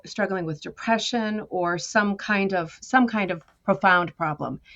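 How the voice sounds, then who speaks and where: Chatty American woman, slightly dull audio. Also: mid-range voice. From United States. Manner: lively, chatty storytelling with self-deprecating humor. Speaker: guest artist. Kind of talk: conversational interview.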